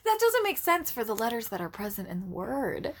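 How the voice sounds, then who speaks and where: Animated, teasing woman podcaster. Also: fairly high pitch, a little fast, slightly rough. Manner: animated, teasing, laughs often, many "like" fillers. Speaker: podcast co-host. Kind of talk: casual podcast chat.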